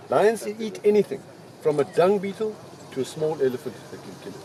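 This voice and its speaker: A man's voice: sits high, very animated, normal speed, crowd noise. A man from South Africa with a South African accent, giving a chatty, joking guided commentary from an open safari vehicle outdoors.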